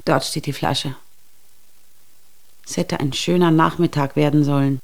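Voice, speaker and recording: Weary ageing mother, low creaky voice. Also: fairly even intonation, unhurried, darkish tone. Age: middle-aged or older. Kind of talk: German radio drama acting.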